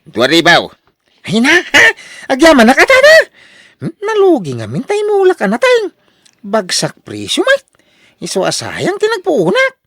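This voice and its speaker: A male actor plays a boastful older husband in a Filipino radio drama, with an Ilocano accent. The pitch swings widely and the tone is bright and forceful. He is expansive and full of exclamations, recorded close and dry. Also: wildly swooping pitch, normal speed, dry recording, exaggerated voice. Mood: gleeful, showy. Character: boastful, generous older husband, newly rich lottery winner.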